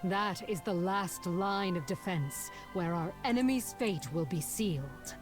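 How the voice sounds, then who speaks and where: A woman's voice: fairly low pitch, a little slow, lightly acted. A woman voicing a solemn, regal dragon matriarch in a fantasy game, grave and stately. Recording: game music under the voice.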